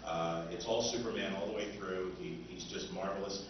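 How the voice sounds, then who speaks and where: Male seminar speaker, lowish voice, halting informal delivery, picked up distant with clear background noise. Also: fairly even intonation. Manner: halting, pausing often. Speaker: seminar speaker, old-time radio author. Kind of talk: informal public lecture. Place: indoor convention seminar room. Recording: muffled, noisy, echoey room from afar.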